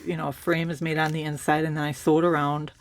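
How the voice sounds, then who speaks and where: Woman, hoarse from a cold, gravelly low-key show-and-tell, chatty and meandering. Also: quick pace, a little hiss or hum. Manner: relaxed, rambling, with many fillers and restarts. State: has a cold, raspy voice. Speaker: crafter showing a handmade journal.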